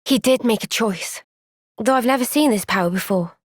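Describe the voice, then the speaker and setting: A woman playing an earnest, gentle young soldier heroine in a fantasy video game, soft-spoken, sincere and thoughtful. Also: a little high, normal speed, no room sound, studio quality, lightly acted. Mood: sincere, steady. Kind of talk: video game cutscene voice acting.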